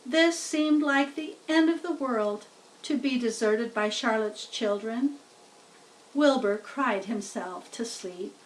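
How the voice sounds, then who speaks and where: A woman's voice: on the high side, recorded from a distance, low-quality recording. A woman narrating a children's story as a read-aloud storybook reader, recorded a little away from the microphone.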